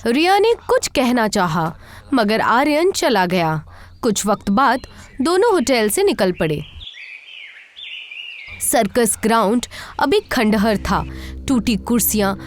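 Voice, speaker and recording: A woman's voice: fairly high pitch, very animated, slow pace, slight background noise. A woman narrator reading a scripted horror story.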